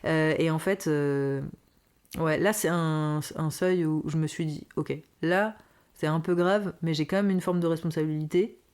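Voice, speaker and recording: A young woman with a strong Parisian accent and a notably low voice tells her story in a loose, chatty way. She fills her talk with little hesitations and slips into voices when quoting people. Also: measured pace, clear, resonant tone. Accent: Parisian French. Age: 20s. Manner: animated, many fillers, acts out quoted lines. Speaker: singer and streamer guest. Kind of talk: casual conversational interview.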